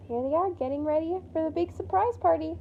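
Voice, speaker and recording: A woman reading a picture book aloud to children in an expressive way, pausing to point out the pictures. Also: very high voice, creaky, narrow, tinny audio, a little hiss or hum. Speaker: storytime reader for children.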